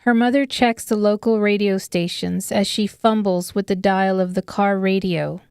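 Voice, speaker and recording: A woman's voice: medium pitch, steady tone, normal speed, vocal fry, darkish tone, smooth, dry recording, studio quality. A woman reading scripted, dramatic audiobook narration of a story.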